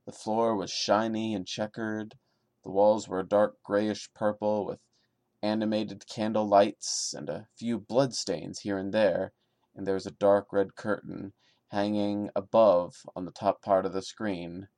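Lowish voiced guy doing a dramatic reading, sarcastic and fed up commentary, podcast host type, dry sound no room echo. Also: flat delivery, measured pace, a little mellow. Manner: sarcastic, exasperated commentary breaking into the narration. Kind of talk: dramatic reading with mocking asides.

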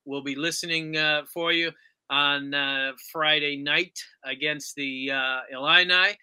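A man's voice: fairly high pitch, fairly even intonation, measured pace, pushed and bright, clear, resonant tone. A man hosting a sports talk interview, putting questions and comments to his guest. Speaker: sports podcast interviewer, host.

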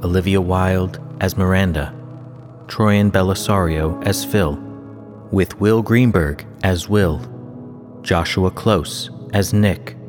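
A man with a low voice announces scripted credits. His voice carries a long reverb over a music bed. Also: slow pace.

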